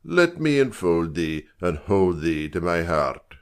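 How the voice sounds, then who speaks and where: Guy doing a deep voiced shakespeare king, gracious n grand, slow declaiming. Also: very animated, exaggerated voice. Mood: warm welcome. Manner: stately, declamatory. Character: gracious, grateful old Scottish king bestowing honours. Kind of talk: dramatic Shakespearean reading.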